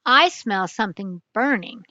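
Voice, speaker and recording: A grandmother doing a calm clever male detective. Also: mid-range voice, unhurried, no room sound. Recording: dull lo-fi dry recording.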